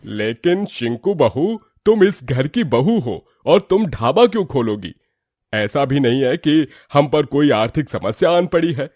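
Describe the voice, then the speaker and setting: A man's voice: on the high side, big pitch swings, unhurried, a little mellow, dry recording, studio quality. A man voicing an emphatic, exclaiming character in a moral story cartoon, with exaggerated dramatic delivery. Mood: disapproving.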